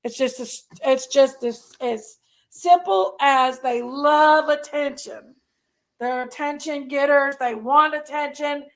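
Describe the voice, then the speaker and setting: A woman with a Southern American accent, raspy and congested from allergies, chatting casually on a livestream and reading out viewers' names. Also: high voice, slow. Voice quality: raspy, gravelly. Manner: drawling, reads out chat names. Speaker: livestream host.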